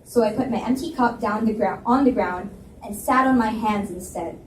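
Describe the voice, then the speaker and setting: A woman narrating a novel in the first person at a live library reading, lively and expressive. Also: medium pitch, normal speed. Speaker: reader and narrator. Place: library event space. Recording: roomy, distant mic with faint hiss.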